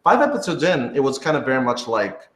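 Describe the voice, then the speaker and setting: A man's voice: mid-range voice, sing-song, fast, a bit bright. Rambling man in an echoey room. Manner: rambling, hedging, thinking aloud. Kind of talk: casual group chat. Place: indoor office used for rehearsals.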